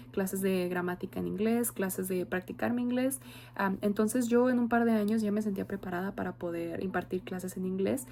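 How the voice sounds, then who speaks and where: A woman's voice: mid-range voice, gravelly, dark tone. A young woman with a Mexican accent, a university student giving an earnest, reflective oral presentation with frequent hesitation fillers. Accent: Mexican Spanish.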